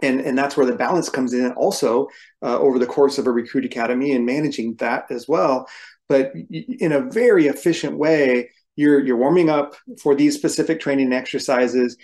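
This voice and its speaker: A man's voice: medium pitch, moderate pace, a little mellow. A man in his forties speaking as a podcast guest on a video call from a room at home, explaining things in a methodical, instructive way. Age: 40s. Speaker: podcast guest, firefighter and coach. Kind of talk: conversational explanation in an interview. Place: small room at home, indoors.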